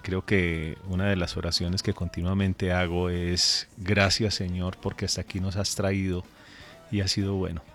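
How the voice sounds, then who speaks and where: A man's voice: low voice, flat delivery, moderate pace, fairly bright tone, faint music behind. A man who is a pastor, raised in Colombia, telling a story earnestly and measuredly in a radio interview.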